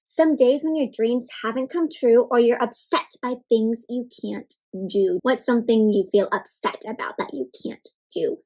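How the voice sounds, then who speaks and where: A woman's voice: a little high, moderate pace, hardly any pauses, warm tone, poor audio. A woman hosting an interactive picture-book read-aloud, speaking expressively to children with exaggerated gasps and frequent questions.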